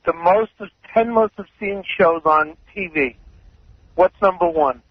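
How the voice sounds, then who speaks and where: A man's voice: high voice, unhurried, no room sound. A man talking casually as a caller to a radio show. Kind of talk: casual call-in talk. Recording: dull, muffled radio call.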